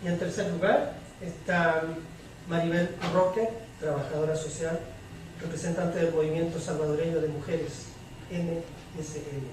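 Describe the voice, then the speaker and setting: Guy moderating a panel, halting careful phrasing, really echoey distant recording with noise behind. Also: a little high, fairly even intonation. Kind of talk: semi-formal spoken introduction and moderation of a public panel. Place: public debate room with audience. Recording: very echoey hall, distant mic, clear noise.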